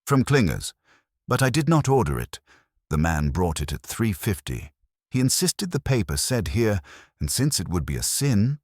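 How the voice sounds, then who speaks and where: A man's voice: fairly low pitch, big pitch swings, normal speed, a little mellow, no echo, studio quality, pitched above the performer's own voice. A man voicing a fussy, dignified male cook and servant who speaks precisely and deferentially in an audiobook. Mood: affronted. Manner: precise, deferential, slightly affronted.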